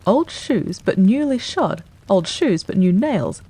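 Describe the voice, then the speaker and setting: A woman voicing a brisk, confident male detective in an audiobook reading, quick and emphatic. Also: medium pitch, sing-song, warm tone, husky, full character voice. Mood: cool.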